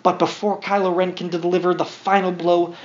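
A man's voice: high-pitched, little pitch movement, brisk. A man reading a children's storybook aloud to his young son, with playful, wry side comments. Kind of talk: read-along children's storybook narration with casual asides. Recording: dull lo-fi sound, faint background noise.

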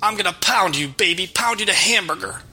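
Male narrator as a beefy surly street thug; raised pitch, coarse and sneering. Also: expressive, brisk, sharp tone, husky, heavily acted.

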